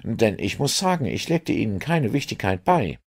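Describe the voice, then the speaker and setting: A blunt, skeptical inspector , as a man puts him on, talks in a raised, somewhat strained voice. He lays out facts flatly and with a hint of scorn, in a storybook reading. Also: medium pitch, normal speed, breathy edge, voice pushed up. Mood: dismissive.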